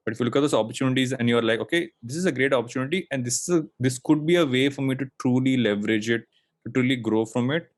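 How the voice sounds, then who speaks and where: A man's voice: mid-range voice, fast, no room sound. A man in his late thirties from India talks as a podcast guest, thinking aloud and circling back with plenty of fillers. His voice sits in an ordinary middle register. The recording is dry and close, with almost no room sound. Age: late 30s. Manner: reflective, rambling with many fillers and restarts. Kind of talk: casual interview chat.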